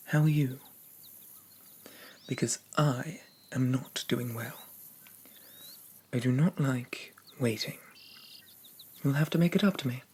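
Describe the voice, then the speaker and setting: A man playing a haughty, possessive demon prince of dreams in an ASMR roleplay, speaking formally and pompously in a soft, often whispered voice. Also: mid-range voice, slightly rough. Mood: petulant, demanding. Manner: pompous, formal, archaic diction. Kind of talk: ASMR roleplay, addressing the listener.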